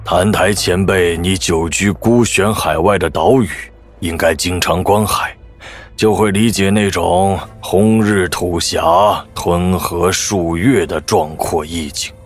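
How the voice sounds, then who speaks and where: A man's voice: sits low, steady tone, slow, soft background music. An actor playing a laconic middle-aged master swordsman in an audio drama, musing and wry. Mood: solemn reflection.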